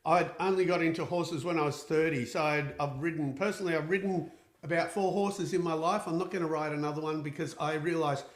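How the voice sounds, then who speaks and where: A man's voice: on the high side, steady tone, brisk. A man hosting a casual live question-and-answer show, chatty and upbeat, often restarting with fillers. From Austria. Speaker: equine therapist and show host. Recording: echoey room.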